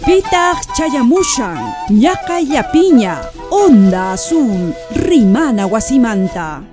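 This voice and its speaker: Village woman in a radio soap, slow drawn out calls, music behind her. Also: a little high, big pitch swings. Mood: calling out. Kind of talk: radio drama acting. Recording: music under the voice.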